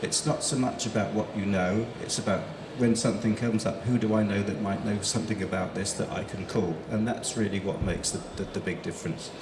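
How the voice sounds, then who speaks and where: A man's voice: on the low side, steady tone, a little fast, hardly any pauses. A middle-aged man moderating a conference panel, talking in a rambling way with lots of fillers. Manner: rambling, hesitant, many fillers. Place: large conference hall. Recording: echoey hall with background noise.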